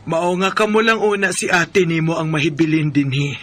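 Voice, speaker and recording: A woman's voice: sits low, fairly even intonation, normal speed, natural voice. A woman playing a caring provincial mother in a radio drama. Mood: concerned. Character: caring provincial mother giving her child instructions.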